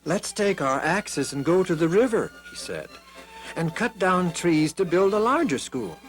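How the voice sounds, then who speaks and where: Animated man narrating children's Bible stories. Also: high voice, measured pace, faint music behind. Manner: animated, dramatic, voices the characters. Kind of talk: expressive scripted storybook narration.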